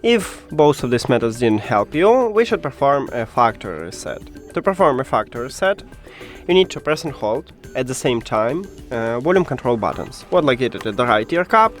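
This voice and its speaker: A man's voice: mid-range voice, very animated, normal speed, soft background music. A man who speaks English as a second language, presenting a step-by-step tech tutorial and addressing the viewer directly in an instructional way. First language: not English. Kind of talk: step-by-step how-to narration.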